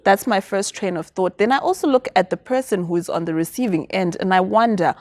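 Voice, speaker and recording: A woman's voice: mid-range voice, a little fast, a little mellow, no room sound. A confident, articulate woman from Zimbabwe, answering interview questions about business and activism. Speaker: guest.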